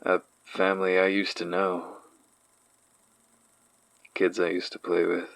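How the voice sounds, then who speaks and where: A deep-voiced man, haunted and uneasy, recalls his past slowly and hesitantly. The horror audio drama testimony sounds natural and reflective, recorded close and dry. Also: monotone, creaky, fairly bright tone, dry recording, full character voice, low-quality recording. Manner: hesitant, reflective, sighing delivery.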